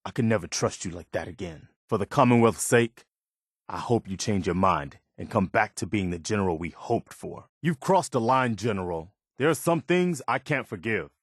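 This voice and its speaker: An actor playing an earnest, principled militia officer in a video game, sincere and plain-spoken, loyal yet easily disappointed. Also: male voice, lowish voice, lively intonation, normal speed, dry recording, clean studio sound. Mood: disappointed, firm. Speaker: video game companion character.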